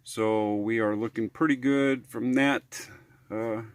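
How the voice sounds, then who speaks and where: American guy doing a casual how-to vlog, slow and rambly, pretty dry sound. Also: medium pitch, fairly even intonation, clear, resonant tone, no room sound. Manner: rambling, hesitant, folksy. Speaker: RV living vlogger.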